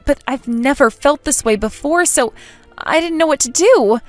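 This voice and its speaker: A woman's voice: high-pitched, lively intonation, moderate pace, faint music behind. A woman playing a sociable but nervous, lovesick schoolgirl in a manga dub, with an emotive, hesitant delivery. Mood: nervous confession. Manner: emotive, self-doubting, hesitant. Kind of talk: dramatic manga dub narration and dialogue.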